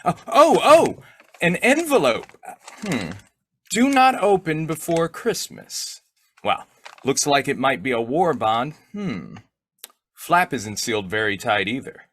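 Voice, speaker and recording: A man's voice: mid-range voice, sing-song, takes it slowly, breathy edge, full character voice. A man playing a sly, childlike, blustering husband in old-time radio comedy style, muttering and stammering. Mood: gleeful, sneaky. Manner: muttering to himself, stammering, exclaiming.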